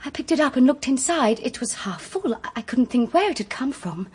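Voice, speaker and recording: A woman's voice: sits high, expressive, fairly quick, a little mellow, husky, heavily acted. An actress playing an anxious, guarded woman accused of murder, careful and hesitant, in a detective radio drama. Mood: shaken.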